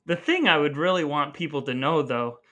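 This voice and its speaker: A man's voice: a little high, a little fast, bright, forceful tone. A man hosting a comedy podcast, bantering and reading aloud in an emphatic, mock-theatrical way. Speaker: podcast host.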